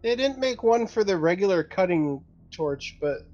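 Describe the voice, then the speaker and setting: A man's voice: high voice, lively intonation, moderate pace, darkish tone. A man chatting casually as a player in a tabletop game. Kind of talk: casual chat. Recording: dull lo-fi voice mic.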